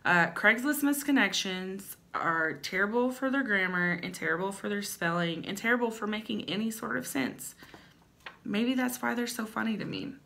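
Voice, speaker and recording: A woman's voice: medium pitch, creaky, a bit bright. Wry, theatrical young woman reading aloud. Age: young adult. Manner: playful, wry, theatrical emphasis. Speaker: host. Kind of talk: comedic dramatic reading with chatty asides. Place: small indoor room at home.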